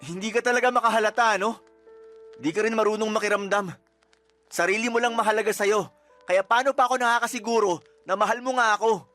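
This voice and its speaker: A man's voice: sits high, fairly even intonation, brisk, long pauses, pushed and bright, soft background music. A young man acting a resentful boyfriend in a radio drama, complaining and accusing. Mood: hurt, accusing.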